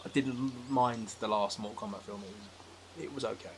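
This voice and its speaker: A man's voice: medium pitch, normal speed. A man speaking as a podcast guest in casual, opinionated discussion, with a dry, sceptical manner. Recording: faint background noise.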